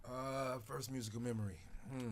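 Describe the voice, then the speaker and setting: A man's voice: mid-range voice, fairly even intonation, moderate pace, a little hiss or hum. An older man who grew up in Alabama, a guest talking in an easygoing, hesitant and self-deprecating way. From grew up in Tuskegee, Alabama. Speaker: guest, music producer. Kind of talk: casual reminiscing conversation.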